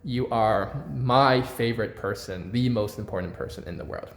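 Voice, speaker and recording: A man in his forties talking casually to his viewers, animated and repeating words, with frequent fillers and tag questions. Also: lowish voice, normal speed, a little hiss or hum. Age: 40s. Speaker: vlogger. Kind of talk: casual monologue to camera. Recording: echoey room.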